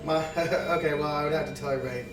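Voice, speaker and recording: A man's voice: fairly high pitch, steady tone, very fast, a crowd in the background. A man playing a tabletop role-playing game, talking casually at the table and partly in character. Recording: echoey room, far from the microphone.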